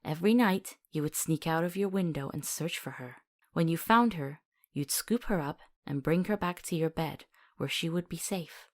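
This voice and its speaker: A woman's voice: sits low, moderate pace. A woman playing a compassionate young doctor in a visual novel, talking tenderly and coaxingly as if telling a soothing story.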